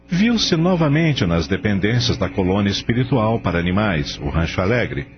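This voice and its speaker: A man with a fairly low voice narrates a radio drama from a script. His intonation moves widely, over faint music in a dull recording.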